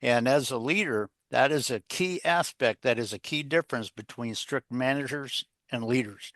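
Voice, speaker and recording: A man's voice: mid-range voice, normal speed, fairly bright tone, no echo, clean studio sound. A man speaking as an interview guest and coach, explaining his views in an emphatic, rambling, folksy way with frequent repetition. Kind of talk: conversational explanation of leadership advice.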